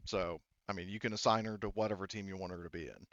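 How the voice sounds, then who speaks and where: A man's voice: low-pitched, fairly even intonation, fast, a bit bright, lo-fi sound. A man running a tabletop game in casual talk, narrating and explaining rules, often rephrasing as he goes. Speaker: game master.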